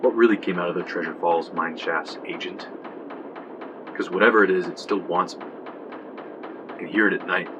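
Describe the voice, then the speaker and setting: An actor playing a haunted, fatalistic young man telling his story gravely in an audio drama. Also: sits low, fairly even intonation, normal speed, pauses often, dull audio, natural voice. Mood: bleak, ominous. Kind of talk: dramatic first-person narration, audio drama. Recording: clear ambient noise behind.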